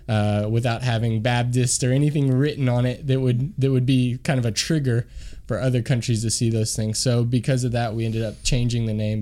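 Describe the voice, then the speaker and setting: A man's voice: medium pitch, warm tone. A man from Kentucky, a missionary guest in a studio, telling his testimony in an earnest, sincere way. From Kentucky, USA. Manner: earnest, sincere, with frequent restarts. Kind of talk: personal testimony in an interview.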